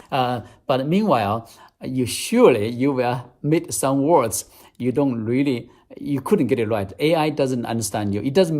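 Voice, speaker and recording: Chinese-accented man, mid-pitched, earnest English tutor, repeats himself as he explains steps. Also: a little mellow. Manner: earnest, step-by-step, repeats phrases. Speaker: English teacher. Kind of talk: app demonstration tutorial.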